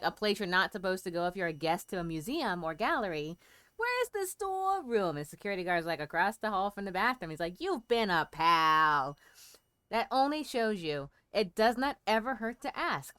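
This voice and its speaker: Chatty lady streamer thinking out loud, smooth voice, really dry mic, casual game commentary. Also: mid-range voice, dry recording.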